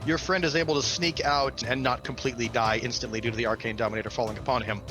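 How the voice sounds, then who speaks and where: A man's voice: mid-range voice, fairly even intonation, fast talker, bright, forceful tone. A man narrating a tabletop game as game master in an enthusiastic, theatrical way. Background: music.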